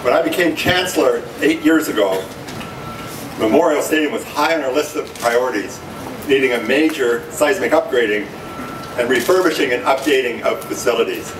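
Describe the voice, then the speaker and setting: An older man, a university chancellor, giving formal prepared remarks at a press conference. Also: medium pitch. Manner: formal, measured delivery. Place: conference room at a stadium. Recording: echoey room from far off, noisy.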